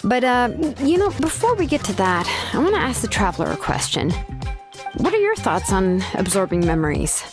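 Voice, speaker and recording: A laid-back young woman craftsperson from a video game. She speaks at a medium pitch, frank and analytical, explaining in a measured, casual way. Faint music sits behind her. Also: very animated. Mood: casual, hesitant.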